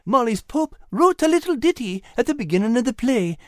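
Excitable pudgy tomcat, pitched way up. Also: male voice, fairly quick, no room sound, clean studio sound. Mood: eager. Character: excitable, pudgy, good-natured male cat, devoted mate.

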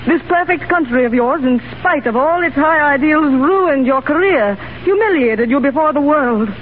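Dutiful russian revolutionary lady in love, high voice, old 30s radio drama, tinny and a bit hissy. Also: animated, normal speed. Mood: grave, reproachful. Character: dutiful Russian revolutionary woman, lovelorn and grave. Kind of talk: 1930s radio acting. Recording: scratchy, narrow-band 1930s radio broadcast.

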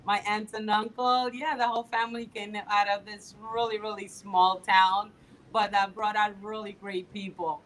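A woman's voice: mid-range voice, little pitch movement, normal speed, a bit bright, slight background noise, rough recording. A woman in her fifties from the Dominican Republic, a political candidate answering interview questions in an eager way with frequent fillers. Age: 50s.

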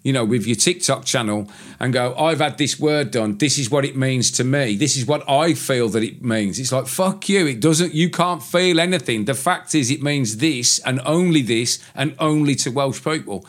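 A man's voice: mid-range voice, normal speed. A man co-hosting a casual podcast, talking in a rambling, opinionated way and swearing now and then. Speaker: podcast co-host, tattooist. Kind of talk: casual chat.

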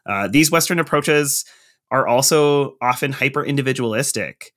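A man's voice: medium pitch, normal speed, fairly bright tone. A man hosting a podcast, asking long, framed questions in a conversational way. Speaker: podcast host. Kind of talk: interview questions.

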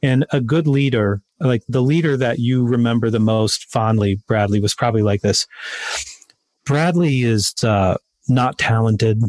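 A man's voice: mid-range voice, measured pace, darkish tone, no room sound. A man speaking as a podcast guest, emphatic and motivational, repeating his main points. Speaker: guest, sales author. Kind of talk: interview conversation.

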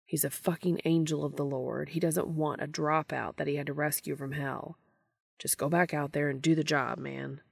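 Woman as a gruff, low-voiced male hunter. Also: fairly even intonation, moderate pace, no room sound, studio quality, full character voice. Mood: self-scolding. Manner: blunt, sweary, casual. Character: gruff, exasperated, self-doubting male monster hunter.

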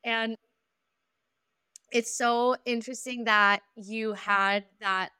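A woman's voice: a little high, steady tone, takes it slowly, pushed and bright, smooth, no echo, studio quality. A woman podcast host talking in an enthusiastic, chatty way. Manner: enthusiastic, chatty, fillers like "literally". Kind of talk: casual podcast conversation.

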